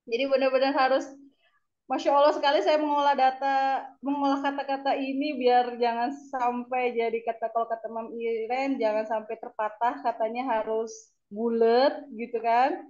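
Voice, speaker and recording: A woman hosting her first webinar over a video call, earnest and grateful, her voice slightly hoarse. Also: a little high, level tone, normal speed, a little mellow. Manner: earnest, grateful, self-deprecating. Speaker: first-time webinar host. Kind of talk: casual online chat. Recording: dull lo-fi call audio.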